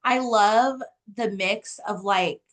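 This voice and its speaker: A woman in her thirties talks as a guest author in a relaxed interview. Her speech is conversational and animated, peppered with "like" as she explains things, at a middle pitch. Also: slow. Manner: animated storytelling, heavy use of "like". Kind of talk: casual interview chat.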